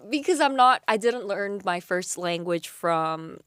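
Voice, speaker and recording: A young woman hosting a campus radio show, giving friendly, unhurried advice with frequent "um" pauses, at a desk mic in a small studio. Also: mid-range voice, animated, fairly bright tone, clear, resonant tone. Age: young adult. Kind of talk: casual advice chat. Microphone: desk mic on a stand.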